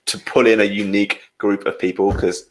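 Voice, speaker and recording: A man's voice: fairly low pitch, level tone, brisk, slight background noise, low-quality recording. A young man from the English Midlands hosting a casual podcast, chatty and reading out viewer comments with frequent laughs. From England, Midlands. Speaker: podcast host.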